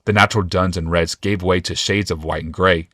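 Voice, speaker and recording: A man narrates a fantasy novel in a low voice. The recording is close and dry, in a scripted storytelling style. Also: fairly even intonation, brisk, fairly bright tone, no room sound. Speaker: audiobook narrator.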